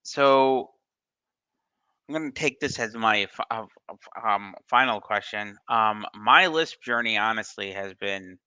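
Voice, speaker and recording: A man's voice: on the low side, measured pace, sharp tone, clean tone, no echo. A man on a video call answering questions in a casual live session, hesitant, with many ums and restarts. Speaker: study group presenter answering questions.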